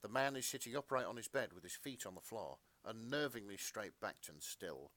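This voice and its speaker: A man's voice: fairly low pitch, animated, brisk, fairly bright tone, dry recording. A man co-hosting a podcast, narrating fan fiction melodramatically with casual asides.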